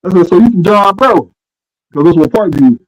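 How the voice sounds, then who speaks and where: Forties man, co-host, relaxed rambling tales, a bit high-pitched, dry mic. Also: fairly quick, no echo, rough recording. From Arkansas. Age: 40s. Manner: laid-back storytelling, trails off with "you know what I'm saying". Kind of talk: casual sports chat.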